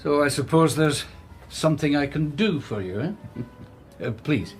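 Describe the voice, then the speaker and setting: An elderly Scottish gentleman of secrets in a radio play. His voice sits a little high for a man and moves around freely as he teases and reminisces. He is wry and genteel. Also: expressive, measured pace. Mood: wry welcome. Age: older. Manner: wry, teasing, reminiscing with chuckles. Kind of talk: radio drama acting. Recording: music behind.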